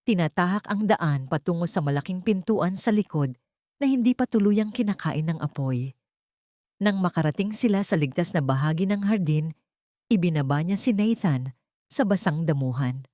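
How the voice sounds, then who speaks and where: A woman's voice: lowish voice, lively intonation, normal speed, warm tone, dry recording, studio quality. A woman with a Filipino accent reading a scripted story as a dramatic audiobook narrator.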